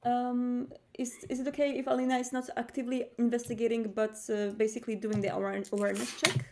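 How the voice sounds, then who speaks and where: A woman's voice: on the high side, level tone, fast. A woman playing a tabletop roleplaying game, describing her character off the cuff and hesitantly searching for words.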